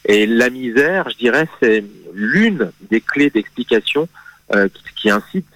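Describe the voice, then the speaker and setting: A man guest on a radio interview, explaining history in a measured way with frequent hesitations and restarts. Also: mid-range voice, animated, fairly bright tone, no echo, low-quality recording. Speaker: magazine guest speaking on history.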